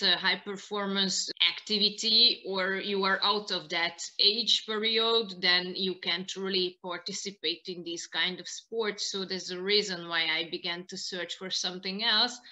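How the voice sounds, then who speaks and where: A woman in her thirties with a Hungarian accent, answering interview questions in halting non-native English on a video call. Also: fairly low pitch, sharp tone. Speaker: interview guest, martial arts practitioner. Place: small living room indoors, on a video call.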